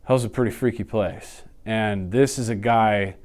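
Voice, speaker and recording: Male, thirties. Clear resonant voice, loose slangy delivery, off-the-cuff interview chat. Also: fairly low pitch, measured pace. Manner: animated, slangy, blurts sound effects. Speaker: interview guest. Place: small indoor office, seated.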